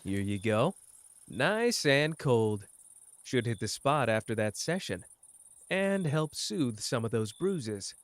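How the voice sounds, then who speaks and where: A man's voice: mid-range voice, no echo. A man playing a cocky, playful young sorcerer who teases and cares, speaking smugly and intimately to the listener in an ASMR roleplay. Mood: teasing, warm. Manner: teasing, smug, drawling, intimate. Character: cocky, playful young male sorcerer, teasing but caring.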